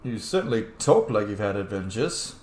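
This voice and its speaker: A man's voice: on the low side, very animated, normal speed, a little mellow, echoey room, slight background noise. A man giving casual, informal playthrough commentary while role-playing a video game, reacting and swearing freely.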